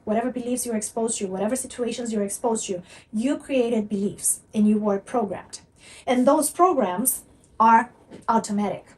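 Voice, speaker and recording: A woman's voice: on the high side, far from the mic, rough recording. A woman from Brazil with a Brazilian Portuguese accent, teaching earnestly to camera and repeating her points for emphasis. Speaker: manifestation coach.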